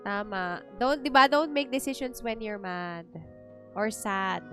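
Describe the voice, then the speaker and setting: A woman's voice: medium pitch, a little slow, bright, forceful tone. A woman with a Filipino accent giving casual, reactive livestream commentary as a game streamer, switching between languages. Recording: faint game music behind.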